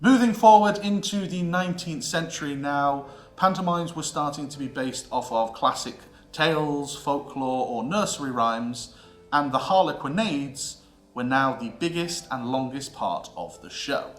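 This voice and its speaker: A British man giving a scripted educational narration as a theatre history presenter, with an emphatic, theatrical delivery and deliberate pauses. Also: a little high. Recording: roomy echo with music underneath.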